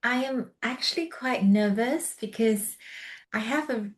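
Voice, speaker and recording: A woman hosting a podcast interview over a video call, speaking gently and softly with occasional nervous laughs. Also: a little high, unhurried. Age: adult with a young son. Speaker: podcast host. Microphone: video call recording.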